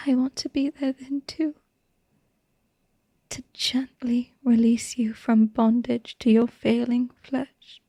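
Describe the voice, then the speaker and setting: Woman playing a whispering, breathy vampire wife. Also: high voice, no echo, full character voice. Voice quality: soft, breathy, half-whispered. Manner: slow, hushed, lovingly murmured. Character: tender, melancholy female vampire wife, devoted and possessive. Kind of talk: intimate ASMR roleplay monologue.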